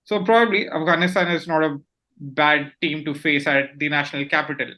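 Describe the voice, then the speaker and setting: A man's voice: fairly high pitch, fairly even intonation, fairly quick, pushed and bright. A man co-hosting a casual cricket chat, eager and chatty. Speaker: co-host.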